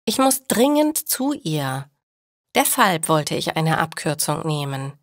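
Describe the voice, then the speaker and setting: A woman voicing a busy, kindly young midwife in a learners' audio storybook, earnest and clearly enunciated. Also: low-pitched, sing-song, slow, no echo, clean studio sound, heavily acted. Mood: pleading.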